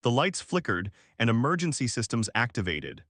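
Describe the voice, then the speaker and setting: A man's voice: medium pitch, sing-song, normal speed, fairly bright tone, dry recording, clean studio sound. A man reading a dramatic science fiction story as a scripted narrator.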